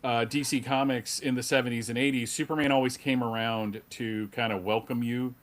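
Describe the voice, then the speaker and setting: A man talks as a panelist in an informal, explanatory way. His voice is of ordinary male pitch and conversational. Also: steady tone, fairly quick. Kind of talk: casual explanatory chat.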